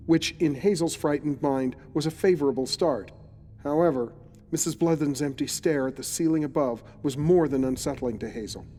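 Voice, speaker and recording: A man delivers scripted narration for a horror audio drama. His voice is on the higher side, and he tells the story in a dramatic, suspenseful way. Quiet music sits underneath.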